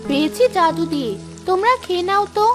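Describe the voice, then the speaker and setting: A woman voicing a gentle, caring young village mother in a cartoon story. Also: extremely high pitch, fast talker, music in the background. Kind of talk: Bengali cartoon story voice acting.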